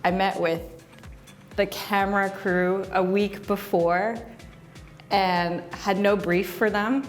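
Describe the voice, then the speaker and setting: A woman's voice: medium pitch, steady tone, slow, faint music behind. A woman talking candidly to camera about the programme she runs, rambling and self-deprecating. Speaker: agency coach and show host.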